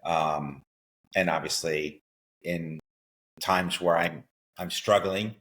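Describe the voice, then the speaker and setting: Low-voiced man, unhurried and hesitant. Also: steady tone, a bit bright. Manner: unhurried, hesitant with many fillers. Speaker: podcast guest. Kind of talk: casual conversation.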